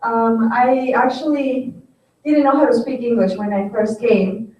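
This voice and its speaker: A woman's voice: fairly high pitch, unhurried, darkish tone. Woman in an echoey hall. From Paraguay. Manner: earnest, with many fillers. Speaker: economics teacher. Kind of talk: personal introduction at a forum. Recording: echoey hall, distant and dull.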